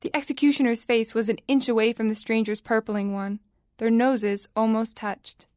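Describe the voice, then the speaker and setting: High-voiced woman narrating a dramatic story. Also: steady tone, darkish tone, dry recording, clean studio sound. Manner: measured, suspenseful storytelling.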